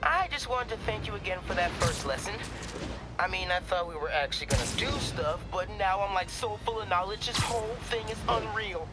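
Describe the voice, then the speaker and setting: A man's voice: high-pitched, animated, a little fast, over loud music. An actor playing an enthusiastic, earnest teenage boy who trains as a hero, in casual youthful talk for a video game. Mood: eager, hopeful.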